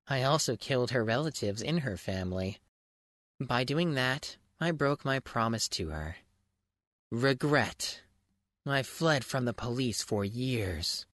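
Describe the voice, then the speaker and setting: Male audiobook narrator, theatrical and mock-grand, highly animated intonation, dry recording. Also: no room sound. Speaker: fan fiction audiobook narrator. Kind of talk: dramatic scripted first-person narration.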